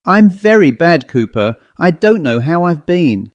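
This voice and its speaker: A man voicing a gruff, troubled country squire with a rough, blustering way of speaking. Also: a little high, sing-song, unhurried, no room sound, dull audio, voice pushed up. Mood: frightened. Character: gruff, guilt-ridden male country squire.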